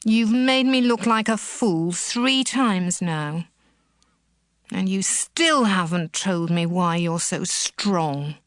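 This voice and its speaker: A woman's voice: fairly low pitch, takes it slowly, no room sound, studio quality. An actress playing a coaxing, scheming seductress in a Bible audio drama, drawing out her words. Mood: hurt, pouting.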